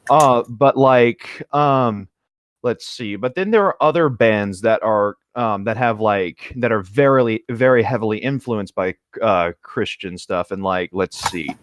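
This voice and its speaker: A man's voice: lowish voice, dry recording, studio quality. A man chatting casually, feeling his way through his sentences in a tentative manner. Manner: hesitant, tentative phrasing. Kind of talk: casual chat.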